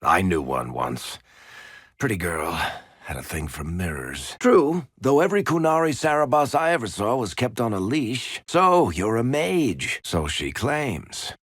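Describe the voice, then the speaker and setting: A man's voice: fairly low pitch, lively intonation, unhurried, a bit bright, breathy edge, no room sound, studio quality, heavily acted. A man playing a sardonic, teasing dwarf rogue and storyteller in a video game. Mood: dry, probing. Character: wry dwarf rogue storyteller, shifty charming smuggler type. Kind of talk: video game character dialogue.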